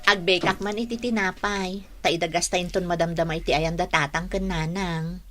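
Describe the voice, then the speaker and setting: An actress playing a sweet, polite young daughter-in-law in a radio drama, with a Northern Philippine Ilocano accent. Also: female voice, lowish voice, steady tone, normal speed, a bit bright, lightly acted. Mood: sweet, hopeful.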